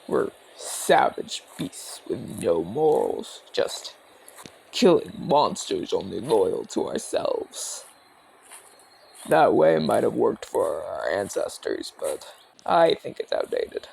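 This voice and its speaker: A man performs a friendly old-soldier orc, a former general with a creaky, gravelly edge. His pitch roams widely as he chats in a measured, courteous, inquisitive way. It is intimate ASMR roleplay, addressed straight to the listener. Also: a little mellow, slight background noise, full character voice, rough recording. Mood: earnest, calm. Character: genial retired orc general, male border commander, calm, inquisitive.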